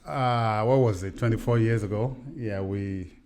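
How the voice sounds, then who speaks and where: A man in his fifties, originally from Nigeria, talks with a low voice in a relaxed podcast chat. He jokes easily and pauses on fillers while telling his story. His delivery is unhurried and conversational. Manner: easygoing, joking, hesitant fillers. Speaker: podcast co-host, speaker and coach. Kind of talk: casual family podcast chat.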